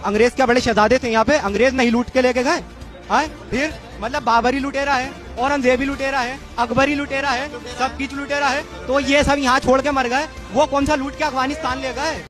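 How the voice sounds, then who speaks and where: A man's voice: very high-pitched, level tone, brisk. A man arguing informally in a street debate, in a challenging, argumentative way. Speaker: member of the public in a debate. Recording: clear music behind the voice. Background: music.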